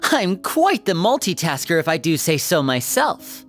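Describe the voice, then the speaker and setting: A performer voicing a confident, charming young male stage magician in a game, smooth and playful. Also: a little high, big pitch swings, measured pace, fairly bright tone, faint music behind. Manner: smooth, playful, self-assured.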